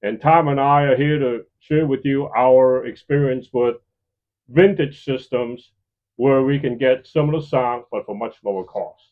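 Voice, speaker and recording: A man's voice: medium pitch, a little slow. Male channel host, dull lo-fi recording. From lives in the Chicago area, United States. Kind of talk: semi-scripted presenting to viewers.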